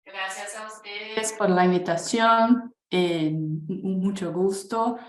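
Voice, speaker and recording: A woman's voice: medium pitch, takes it slowly, echoey room. A woman with a Brazilian Portuguese accent, a native Portuguese speaker, giving a webinar presentation over a video call, hesitant with many fillers and restarts. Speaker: guest speaker.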